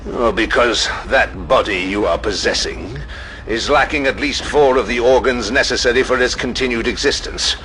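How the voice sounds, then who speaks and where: A man's voice: medium pitch, normal speed, pushed and bright, slight background noise, close to an everyday voice. A man playing a stern, commanding armoured warrior leader in an audio drama, curt and cold. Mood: cold, knowing.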